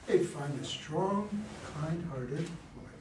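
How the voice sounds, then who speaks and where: A man's voice: fairly high pitch, expressive, slow pace. A man playing a devoted, affectionate campaign staffer in an amateur play reading, with an earnest manner. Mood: tender. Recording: distant, echoey room, faint noise.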